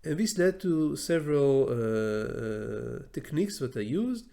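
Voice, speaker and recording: A man's voice: fairly high pitch, big pitch swings, creaky, mellow. Thoughtful man, native Hebrew speaker. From Israel. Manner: thoughtful, many fillers and restarts, slow deliberate phrasing. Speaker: law professor teaching English learning tips. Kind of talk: solo educational talk to camera.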